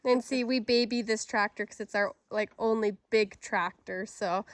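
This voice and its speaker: A woman's voice: mid-range voice, steady tone, normal speed. A woman farmer with a cold, chatting casually as she explains her baler outdoors on a field. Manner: chatty and explanatory, a bit self-deprecating. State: has a cold. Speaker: farmer showing new equipment. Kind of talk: casual explanatory vlog chat. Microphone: handheld camera she films with. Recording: loud tractor engine noise behind.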